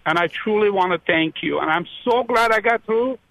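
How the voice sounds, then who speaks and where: Effusive, excited male radio caller from Iran. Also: high voice, moderate pace, creaky, no echo, rough recording. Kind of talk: call-in radio conversation.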